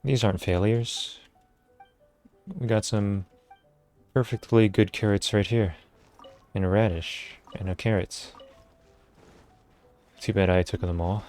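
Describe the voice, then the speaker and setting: A man's voice: fairly low pitch, steady tone, slow, pauses often, a little mellow, faint music behind. A man live streaming a video game, giving casual, slangy, joking commentary.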